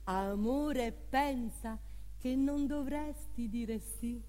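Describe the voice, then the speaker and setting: Stagey lover pleading and teasing, high voice, drawn out, big hall reverb with live music. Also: female voice, warm tone. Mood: coaxing, teasing. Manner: slow, drawn-out, repetitive, insistent delivery. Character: a woman pleading with and teasing her beloved. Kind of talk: theatrical stage monologue.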